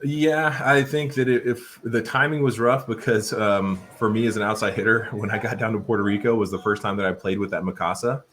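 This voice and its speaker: Male coach, 30s, very low voice, laid-back storytelling, faint background noise. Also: fairly quick, few pauses. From Portugal. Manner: relaxed, rambling, many fillers and restarts. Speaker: assistant volleyball coach. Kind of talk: casual interview conversation.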